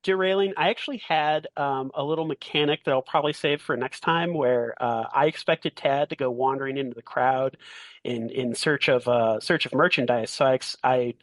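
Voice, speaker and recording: A man acting as game master, narrating a tabletop game in a casual way with a halting, deliberate delivery. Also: mid-range voice, no echo.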